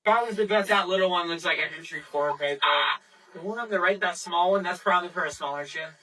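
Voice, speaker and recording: A man narrating an excited vlog on location, emphatic and prone to swearing, recorded at a distance from the microphone. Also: high-pitched, quick pace.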